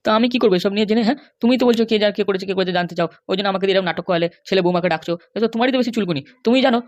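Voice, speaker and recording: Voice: medium pitch, rapid-fire, dry recording, highs cut off, natural voice. A performer voicing a compliant but grumbling, worried Bengali husband and father in a comedy cartoon, with a placating, hesitant manner. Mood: exasperated. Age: middle-aged. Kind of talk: animated comedy cartoon dialogue.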